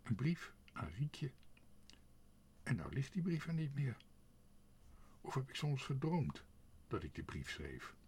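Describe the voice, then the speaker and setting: A man's voice: mid-range voice, very animated, slow pace, pauses often, darkish tone, husky, pitched above the performer's own voice, full character voice. A man playing a bewildered, smitten young man in a storybook, musing and hesitant. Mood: puzzled musing.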